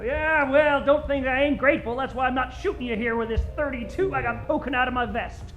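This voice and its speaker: A man's voice: extremely high pitch, fairly quick, narrow, tinny audio. A man playing a grumbling, wisecracking gangster in a 1940s radio crime spoof. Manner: old gangster slang, complaining.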